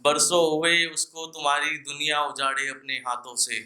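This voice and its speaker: A man's voice: on the high side, normal speed, bright, forceful tone. A young man performing poetry live at an open mic, declaiming couplets with repeated lines and casual asides to the audience.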